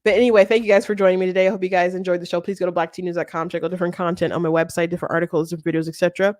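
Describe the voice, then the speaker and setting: A woman hosting a commentary show, talking off the cuff in a casual, halting, rambling way. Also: fairly low pitch, flat delivery, a little mellow, no room sound, clean studio sound. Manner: halting, rambling, often breaks into laughter.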